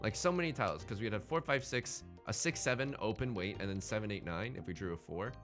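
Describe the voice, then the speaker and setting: A man's voice: lowish voice, lively intonation, fast, a bit bright, soft background music. A man hosting a gaming let's play in casual, bantering commentary, thinking aloud and often repeating his phrases.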